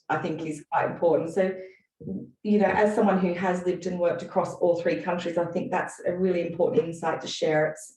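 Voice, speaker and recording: A woman's voice: fairly low pitch, a little mellow. A woman with an Australian accent, moderating an online business panel as its host. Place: indoors on a video call. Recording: echoey, distant microphone on video call.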